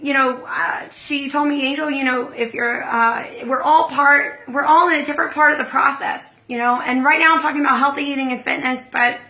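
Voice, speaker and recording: A hoarse woman hosts a casual livestream, rambling warmly to viewers and stopping to greet them by name. Her voice sits a little high and bright under the strain of the hoarseness. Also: fairly even intonation, a little fast, hardly any pauses, rough recording. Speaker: livestream host.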